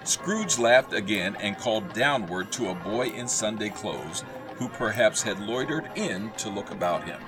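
A man narrating a Victorian Christmas tale in a radio play, husky and theatrical, savoring each repeated phrase. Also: lowish voice, animated, measured pace, few pauses, music in the background. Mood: relishing. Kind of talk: dramatic storybook narration.

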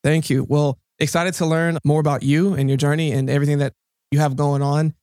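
A man's voice: on the high side, little pitch movement, brisk, darkish tone, no echo, studio quality. A man hosting a podcast, talking in a casual, upbeat interviewer's style. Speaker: podcast host.

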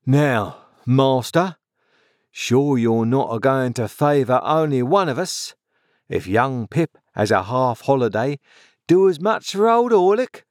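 A man voicing a sullen, insolent journeyman in his twenties, growling gruffly in rustic working-class speech for a storybook. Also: mid-range voice, animated, takes it slowly, no room sound, clean studio sound, voice pushed up. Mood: aggrieved. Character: surly sullen journeyman blacksmith, about twenty-five, resentful and insolent.

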